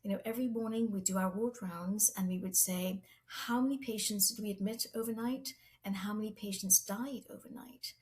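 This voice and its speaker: A middle-aged woman who grew up in Zambia, speaking as a podcast guest. She talks in an earnest, reflective way with a measured pace. Her voice sits at a medium pitch. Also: steady tone, darkish tone. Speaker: guest, pharma medical executive. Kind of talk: interview storytelling.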